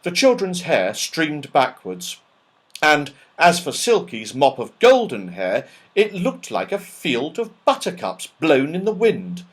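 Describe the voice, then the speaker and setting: A man reading a children's story with plenty of expression. His pitch is fairly high and very varied, and he takes his time, dramatizing each phrase as a storyteller. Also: huge pitch swings, slow, husky. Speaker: audiobook narrator. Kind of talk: animated children's story narration.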